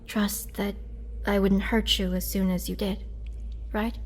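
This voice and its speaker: A woman playing a lonely, wry immortal vampire prisoner who looks young, in a halting, dramatic roleplay style. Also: mid-range voice, level tone, slightly rough. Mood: pleading, lonely. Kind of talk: dramatic girlfriend roleplay audio acting.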